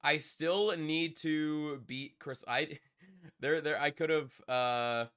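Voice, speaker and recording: A man's voice: on the high side, steady tone, slow pace, fairly bright tone, smooth. A young man with a congested voice, chatting casually with his live-stream viewers and rambling with repeats. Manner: rambling, repeats himself, talks to chat. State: congested from a cold.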